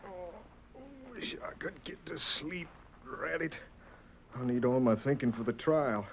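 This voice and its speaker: A man's voice: on the high side, animated, measured pace. A man playing a wrongly jailed frontier man in a radio western, with a folksy American West accent. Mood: despairing. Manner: folksy rustic Western speech. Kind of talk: 1940s radio drama acting. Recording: noisy lo-fi old radio recording.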